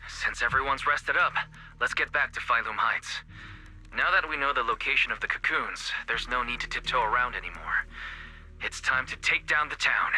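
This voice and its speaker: A man playing a steady, resolute young squad member in a video game. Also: mid-range voice, moderate pace, rough recording. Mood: determined.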